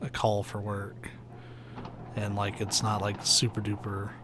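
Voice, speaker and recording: A young man with a soft, soothing voice, chatting casually and rambling while he plays a video game on stream. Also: fairly low pitch, measured pace. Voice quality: soft, soothing, sleepy-sounding voice. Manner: rambling, laid-back, many "like"s and "uh"s. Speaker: let's play streamer. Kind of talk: casual commentary while gaming. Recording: steady background noise hiss.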